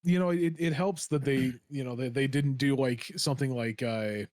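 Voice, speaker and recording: A man speaks as a panelist on a casual podcast. His voice sits at a medium pitch in a dry recording, and he is wordy, slangy and emphatic. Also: moderate pace, darkish tone, dry recording.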